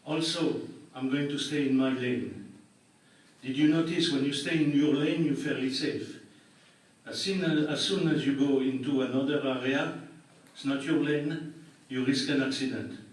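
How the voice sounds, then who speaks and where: French-accented older man in echoey hall. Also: mid-range voice. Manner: dry jokes, plain unhurried explanations. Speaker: public health doctor giving a talk. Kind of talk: public meeting presentation. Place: large public meeting hall. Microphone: room recording from far off. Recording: echoey hall taped from far off.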